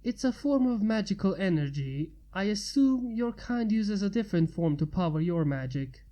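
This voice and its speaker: A woman voicing a wounded male mage, a polite, weary stranger who speaks weakly and haltingly, for a fantasy storybook. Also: medium pitch, expressive, dark tone, natural voice. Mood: weary, friendly. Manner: weak, halting, courteous.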